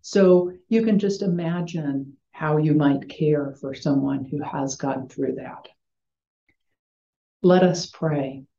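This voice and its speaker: An older woman with an American accent giving a gentle chapel talk to schoolchildren as a chaplain, in simple phrases, over a video call from a small room. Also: deep voice, slow pace, gravelly. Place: small home room with bookshelves. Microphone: computer mic on video call. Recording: roomy, dull video-call sound.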